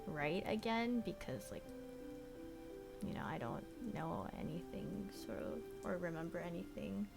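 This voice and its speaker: A young woman born in Korea, chatting casually to stream viewers from her bedroom, rambling with lots of "like" and "you know" and trailing off softly. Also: lowish voice, very animated, unhurried, creaky, over loud music. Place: bedroom at home.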